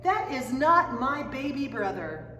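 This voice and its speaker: A woman voicing a blunt, doubtful little girl in a storybook, making emphatic, drawn-out declarations. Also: high-pitched, sing-song, pushed and bright, pitched above the performer's own voice, full character voice. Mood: indignant. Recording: echoing room, distant mic, faint noise.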